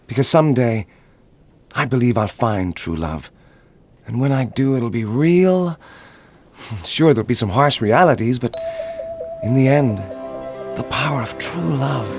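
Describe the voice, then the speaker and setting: An actor playing a naive, earnest young poet demigod, hesitant and dreamily romantic, in a comic audio drama. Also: male voice, medium pitch, expressive, measured pace, pauses often, soft background music. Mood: dreamy.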